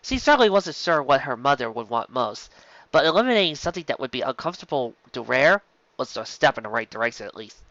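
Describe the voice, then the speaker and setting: Animated storybook narrator, dry dull recording. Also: mid-range voice, quick pace, no room sound. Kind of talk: expressive fan fiction audiobook narration.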